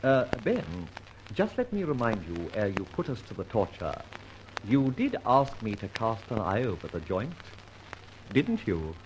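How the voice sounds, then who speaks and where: An actor playing a jaunty, confident gentleman detective in an old-time radio serial, with theatrical, old-fashioned phrasing. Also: on the low side, wildly swooping pitch, measured pace. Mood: breezy. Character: suave, jaunty gentleman adventurer-detective, male, whimsical and confident. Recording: noisy old radio recording.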